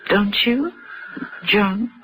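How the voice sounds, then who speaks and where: A woman playing a poised, seductive banker's wife in a radio drama, coolly formal and insinuating, with a husky voice. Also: mid-range voice, drawn-out words, pushed and bright, a little hiss or hum, low-quality recording. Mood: poised, coy.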